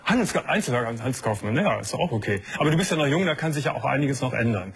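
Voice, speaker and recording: A man's voice: mid-range voice, expressive, fast talker, breathy edge. A man hosting a night-time call-in radio show, attentive and encouraging, often affirming with short interjections.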